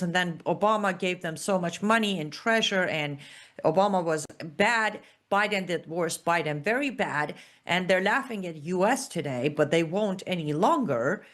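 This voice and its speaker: A woman from Iran, hosting her own political show in an emphatic, sarcastic opinion monologue. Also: medium pitch. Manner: emphatic, sarcastic, punchy repeated phrases. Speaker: political commentator, show host.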